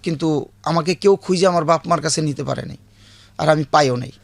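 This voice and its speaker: Bangladeshi man late 50s telling his life story, croaky voice on the higher side, simple everyday wording. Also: a little fast, dry recording. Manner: plain, colloquial, repetitive. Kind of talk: personal storytelling in an interview.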